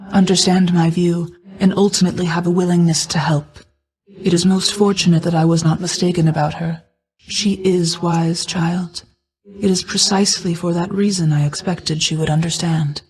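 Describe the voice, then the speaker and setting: A woman voicing a regal, ancient night goddess and guarded mother in a video game, speaking in a formal, measured way. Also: fairly low pitch, a little mellow, lightly acted, low-quality recording. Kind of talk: scripted game character dialogue.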